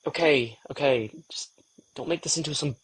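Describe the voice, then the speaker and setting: A man's voice: on the high side, moderate pace, a bit bright, husky, poor audio. A man playing a weary, sardonic, reluctant young vampire in an intimate audio drama, guarded and dry. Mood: teasing.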